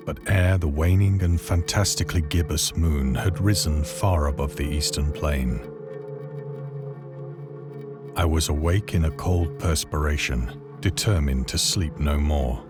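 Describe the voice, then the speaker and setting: A man playing a haunted castaway, narrating a horror tale in a measured, solemn, literary manner for a game. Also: a bass voice. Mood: uneasy, solemn. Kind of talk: scripted dramatic narration of a horror story. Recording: loud music under the voice. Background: loud music.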